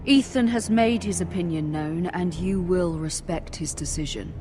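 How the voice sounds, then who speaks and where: A woman playing a dignified middle-aged noble mother who speaks in a calm, authoritative way. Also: on the low side, moderate pace, slight background noise, lightly acted.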